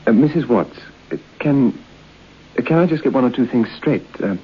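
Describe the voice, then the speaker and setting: A man's voice: medium pitch, lively intonation, moderate pace, a little hiss or hum, lightly acted. An actor playing a polite, nervous bachelor in his thirties, hesitant and stammering, in a vintage radio suspense play. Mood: uneasy, curious. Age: 30s. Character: polite, nervous thirty-three-year-old bachelor guest. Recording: dull lo-fi old radio broadcast.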